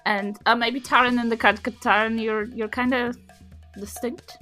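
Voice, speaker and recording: A woman's voice: on the high side, fairly even intonation, normal speed, sharp tone, soft background music. A woman chatting casually and unscripted as a player in a tabletop game. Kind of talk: casual unscripted group chat.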